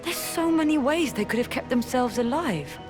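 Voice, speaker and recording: A woman's voice: high voice, expressive, normal speed, music in the background. An actress playing a spirited, idealistic young woman adventurer in a video game cutscene. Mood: thoughtful.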